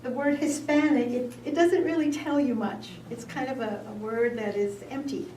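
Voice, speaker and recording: A woman's voice: high-pitched, fairly even intonation, normal speed, mellow, a little hiss or hum. Woman moderating in an echoey room. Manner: chatty, with fillers and laughs. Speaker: discussion moderator. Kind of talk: panel discussion. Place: meeting room with an audience. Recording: echoey room, far from the mic.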